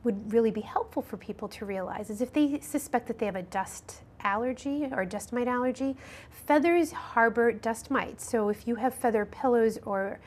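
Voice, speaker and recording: A middle-aged woman with a somewhat high voice explains allergy care as a nurse practitioner guest. She talks in a measured, hesitant way, pausing on ums and restarting her sentences as she works through each answer. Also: darkish tone. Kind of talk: interview answers on local cable health show.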